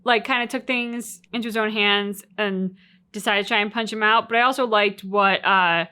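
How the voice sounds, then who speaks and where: A woman with a bright, forward voice at a middle pitch hosts a reaction video. She talks casually and informally about a game. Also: steady tone, normal speed, clear, resonant tone.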